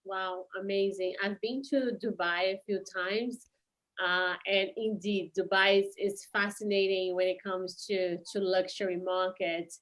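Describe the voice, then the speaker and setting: A woman hosting a business podcast, her voice sitting at an ordinary middle pitch. She talks hesitantly, leaning on uh and you know as she frames her questions. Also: steady tone, measured pace, a bit bright, poor audio. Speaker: podcast host. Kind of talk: podcast interview.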